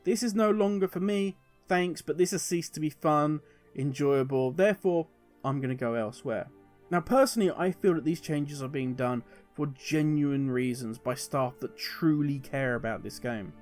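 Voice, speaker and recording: High-pitched man giving earnest opinion commentary. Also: moderate pace, darkish tone, soft background music. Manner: earnest, emphatic, rhetorical questions. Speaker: gaming video host.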